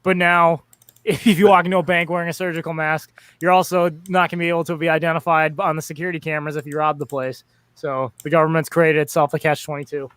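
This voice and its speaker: A man's voice: a little high, flat delivery, fast, fairly bright tone, clear, resonant tone, no room sound. A man in a casual political podcast chat who stammers through restarts and talks wryly.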